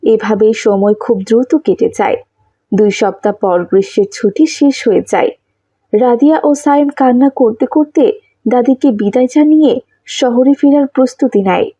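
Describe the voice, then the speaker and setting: A woman narrator reads a children's story in a fairly high, mellow voice. Her tone is husky, and the recording is close, dry and a little dull. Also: normal speed, dry recording.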